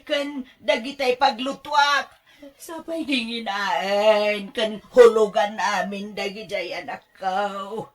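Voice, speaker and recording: A woman with an Ilocano accent playing an excitable, fretful middle-aged mother in a radio drama, expressive and exclamatory. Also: medium pitch, slow. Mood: panicked. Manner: expressive, exclamatory, laughs easily.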